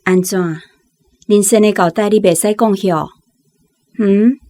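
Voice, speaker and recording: A woman playing a master's wife who gently probes, husky-voiced, in a radio drama with a Taiwanese accent. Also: mid-range voice, fairly even intonation, unhurried, dry recording, natural voice. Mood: probing.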